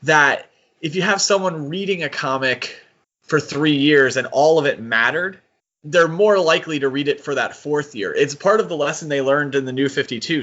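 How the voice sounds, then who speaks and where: A man's voice: on the high side, fairly bright tone. Man chatting casually, dull lo-fi sound. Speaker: podcast co-host. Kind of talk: casual comics discussion.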